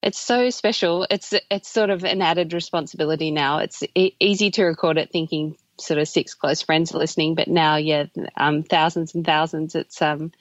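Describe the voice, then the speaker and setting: A woman's voice: deep voice, fairly even intonation, moderate pace, no echo, muffled recording. An Australian woman in her thirties, a podcast guest chatting casually with lots of ums and small laughs. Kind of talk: casual interview chat.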